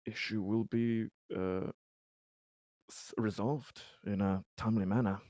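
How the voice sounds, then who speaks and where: A man playing an earnest, diplomatic colony official in a tabletop roleplay, speaking formally in wordy, halting phrases. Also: lowish voice, fairly even intonation, mellow, dry recording, studio quality, natural voice. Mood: hopeful, deliberate. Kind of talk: improvised tabletop roleplay dialogue.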